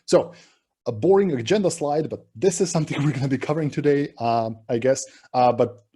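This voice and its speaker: A man from Serbia talks over a video call in an easygoing, self-deprecating way, like a speaker who jokes about his own setup. His voice sits at an ordinary middle pitch. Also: brisk, husky. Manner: relaxed, chatty, self-deprecating. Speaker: conference speaker. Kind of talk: online conference talk.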